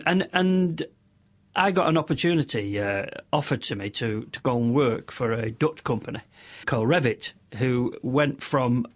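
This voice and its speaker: A middle-aged man with a squeaky voice, a radio interview guest who rambles through long stories with many restarts and self-deprecating asides. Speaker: radio interview guest, motorcycle stunt show compere.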